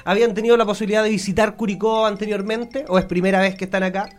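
A Chilean man hosting a live radio interview in an animated, chatty, jokey manner. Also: high voice, very fast. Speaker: radio host. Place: radio studio. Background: music.

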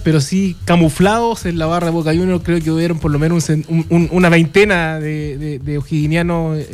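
A Chilean man with a moderately high voice talks as a sports radio panelist. His delivery is casual and conversational, like easy studio chat.

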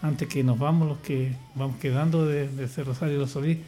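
A man's voice: mid-range voice, fairly even intonation, moderate pace, warm tone. An older man speaking in casual radio chat, hesitant and rambling, with many restarts and fillers. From Chile. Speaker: radio guest, church choir leader.

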